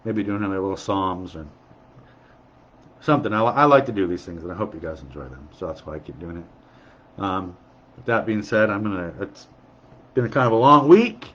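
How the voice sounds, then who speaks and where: A man's voice: low voice, normal speed, pauses often, fairly bright tone, lo-fi sound. A man with a sniffly cold, giving an earnest recovery sermon and repeating key lines for emphasis. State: sniffly, under the weather. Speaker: recovery devotional speaker.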